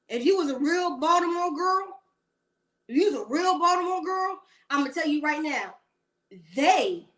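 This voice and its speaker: Sassy high-voiced woman ranting. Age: 30s. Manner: sassy, blunt, rambling rant. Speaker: commentator. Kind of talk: casual celebrity gossip commentary.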